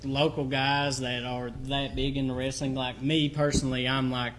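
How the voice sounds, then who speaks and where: A man's voice: medium pitch, level tone, moderate pace, noisy background. A man, hosting a podcast and rambling as an eager wrestling fan, full of fillers. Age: 30s. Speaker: podcast host. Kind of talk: casual podcast chat. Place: small studio.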